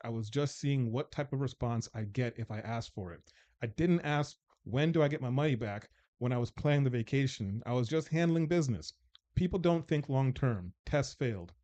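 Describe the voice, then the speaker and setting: A man's voice: mid-range voice, dark tone, lo-fi sound. A man in his forties hosting a casual dating panel show, joking and rambling as he reads out a scenario. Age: 40s. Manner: joking, teasing, loose and rambling. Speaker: host.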